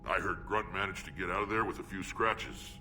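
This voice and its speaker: Game casting: a gruff warrior alien, intensely forceful growl, heard slightly off-mic. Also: male voice, low voice, a little fast, distant mic, faint music behind. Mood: gruff, restless. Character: gruff, restless, battle-hungry alien warlord. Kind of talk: video game voice acting.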